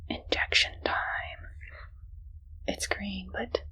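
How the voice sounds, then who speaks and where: A woman playing a friendly but evil scientist in an ASMR medical roleplay, speaking in a slow, soft, breathy whisper with sweetly sinister attentiveness. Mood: calm, clinical. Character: friendly but evil female scientist examining a captive subject.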